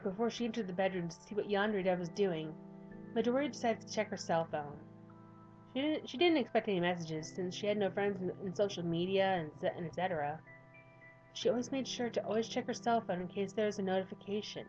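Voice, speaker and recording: A woman's voice: medium pitch, lively intonation, brisk, a little mellow, muffled recording, faint music behind. A woman reading a visual novel aloud with rambling, chatty commentary from a home desk mic. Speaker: gaming youtuber.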